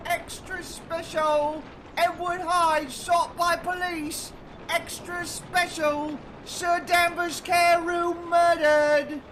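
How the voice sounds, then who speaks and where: Radio play newsboy female actor: very high, monotone hawker's cry, drawn-out headlines, light street noise behind. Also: full character voice, poor audio. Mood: urgent, hawking. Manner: crying out headlines in sing-song calls. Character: street newsboy hawking sensational extra editions. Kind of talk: gothic radio drama acting.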